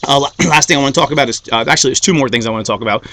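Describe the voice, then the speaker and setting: Fast, bright-voiced motivational man. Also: mid-range voice, no echo. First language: Greek. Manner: repeats "okay?" and "guys", builds to rising fired-up climax. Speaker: motivational speaker. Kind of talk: motivational talk to followers.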